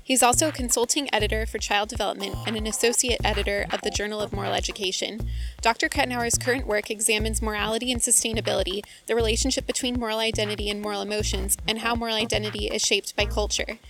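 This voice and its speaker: A woman hosting a podcast, introducing her guest and warmly asking him about his background. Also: mid-range voice, fairly even intonation, fast. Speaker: podcast host. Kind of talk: interview introduction and questions. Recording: music under the intro.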